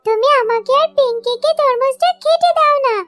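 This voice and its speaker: A woman's voice: very high voice, moderate pace, a bit bright, no room sound, soft background music, pitched above the performer's own voice. A woman voicing an eager, pleading little girl fox cub in a children's cartoon.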